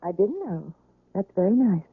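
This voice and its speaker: A woman's voice: mid-range voice, big pitch swings, moderate pace, husky. An actress playing a sentimental working woman who is a hospital patient, in a 1970s-style radio drama. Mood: friendly, composed. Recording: dry, thin phone-like old radio recording.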